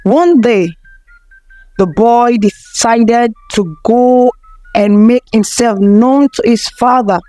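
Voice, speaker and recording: A woman's voice: on the high side, takes it slowly, mellow, dry recording, low-quality recording. A woman narrating a folktale from a script as a storyteller.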